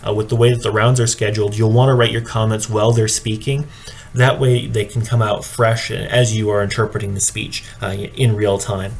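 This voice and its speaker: A man giving an instructional presentation like a coach, explaining in a measured, matter-of-fact way. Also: fairly low pitch, little pitch movement. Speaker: speech and debate coach training judges. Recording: clearly audible background noise.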